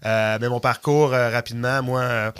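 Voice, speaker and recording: A man's voice: mid-range voice, steady tone, moderate pace, fairly bright tone, clear, resonant tone. A man in his thirties with a Québécois French accent, a guest chatting casually in a podcast studio, rambling with many fillers. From Canada. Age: 30s. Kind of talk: casual interview talk.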